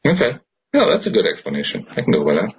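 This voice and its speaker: A man's voice: on the low side, wildly swooping pitch, fast, husky, muffled recording. A man co-hosting a podcast in a casual, reflective way, often rambling and searching for words. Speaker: podcast co-host. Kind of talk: casual spiritual chat.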